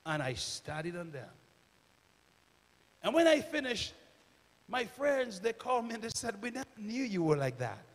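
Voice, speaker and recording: A middle-aged man preaching a sermon on a church stage with a handheld microphone, swinging from loud shouts to hushed near-whispers. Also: sits high, sing-song, normal speed, long pauses. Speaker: minister. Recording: reverberant church hall.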